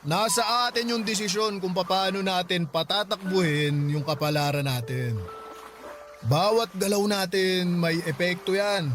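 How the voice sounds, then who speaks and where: Radio drama role: heartbroken, boozy middle-aged uncle, raised pitch, reflective and weighty, over soft music. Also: male voice, unhurried. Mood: reflective, resigned. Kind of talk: Filipino radio drama dialogue.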